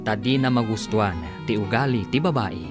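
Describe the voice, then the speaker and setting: A man's voice: fairly low pitch, brisk. A man narrating a radio drama serial in a theatrical, scripted announcer style. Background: guitar music.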